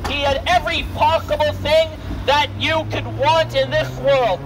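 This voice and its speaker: A man's voice: very high-pitched, fairly even intonation, normal speed. A young man preaching an open-air gospel sermon, earnest and declamatory, projecting as he addresses listeners directly. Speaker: street preacher. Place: outdoors on a busy city sidewalk. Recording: noisy city street, traffic ambience.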